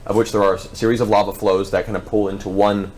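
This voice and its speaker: A man narrating a tabletop game as game master, describing scenes in improvised, halting phrases. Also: on the low side, flat delivery, darkish tone.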